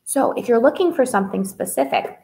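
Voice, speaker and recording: Young lady doing kids storytime, highish voice, sing-songy n expressive. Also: brisk, vocal fry. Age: young adult. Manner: expressive, sing-song, child-directed. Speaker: children's librarian hosting a read-aloud. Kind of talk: children's story-time presentation. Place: small indoor room with whiteboard.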